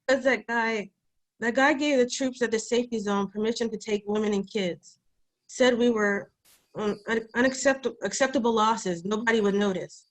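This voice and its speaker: A woman's voice: a little high, fairly even intonation, moderate pace, natural voice, rough recording. A woman playing a defiant, wary young girl in a screenplay table read, speaking bluntly and informally. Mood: bitter, upset.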